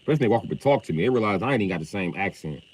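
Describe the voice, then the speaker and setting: Man, livestream chat, loud, profane, low voice, very lively pitch. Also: racing, husky, low-quality recording.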